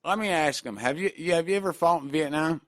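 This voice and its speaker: A man's voice: on the high side, fairly bright tone, no room sound, studio quality, close to an everyday voice. A radio host playing a forgetful older army veteran who stumbles and halts over his own age and birthday on a prank call. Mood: muddled. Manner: halting, confused, stumbling over numbers.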